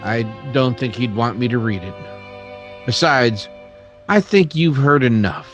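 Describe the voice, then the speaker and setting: A man acting a grave, indignant Old West townsman in an old-time radio western, speaking earnestly and with deliberate emphasis. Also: mid-range voice, animated, fairly bright tone, muffled recording, soft background music. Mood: grave, impassioned.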